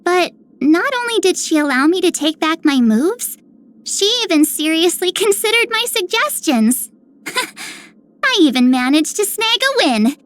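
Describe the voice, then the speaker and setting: An actress playing a sweet, earnest little child hero in a game, excitable and childlike. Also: female voice, extremely high pitch, a little slow, heavily acted. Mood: proud, eager. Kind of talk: scripted game character lines.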